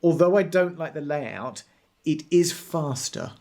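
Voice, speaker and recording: A man's voice: a little high, animated, measured pace, a little mellow. A man co-hosting a casual tech chat, explaining things in a self-deprecating way. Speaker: podcast co-host and streamer.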